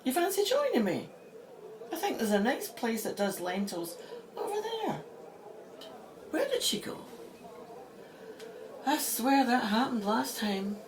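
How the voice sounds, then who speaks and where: An actress playing a feisty, beleaguered Egyptologist who exclaims and mutters to herself in an audio drama. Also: female voice, fairly high pitch, big pitch swings, measured pace, pauses often, background noise. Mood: wry, puzzled. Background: music.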